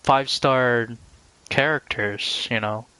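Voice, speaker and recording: A man's voice: medium pitch, slow, sharp tone, smooth, no room sound, low-quality recording. A man chatting casually and in a rambling way as a game streamer, talking to his viewers over gameplay. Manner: rambling, addresses viewers by name.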